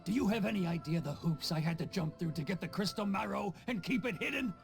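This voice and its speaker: A man's voice: high-pitched, lively intonation, fast talker, vocal fry, soft background music. An actor playing a greedy, whining corrupt male tax official in a video game, with a blustering, defensive manner. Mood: grudging. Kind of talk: English video game dub acting.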